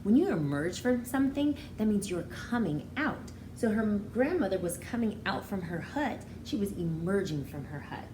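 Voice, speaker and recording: A woman teacher reads a picture book to small children in a mellow voice with a very animated melody. She pauses to explain things in an expressive, instructive way. The room is audibly echoey. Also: medium pitch. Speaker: pre-kindergarten teacher reading aloud. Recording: roomy indoor echo, faint background noise.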